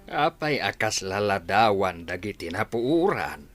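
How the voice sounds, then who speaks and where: Slow, sharp-edged old Ilocano grandfather. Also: lowish voice, very animated, faint music behind. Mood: puzzled, reflective. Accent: Filipino, Ilocano. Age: older. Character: puzzled, reflective old grandfather. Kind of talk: radio drama acting.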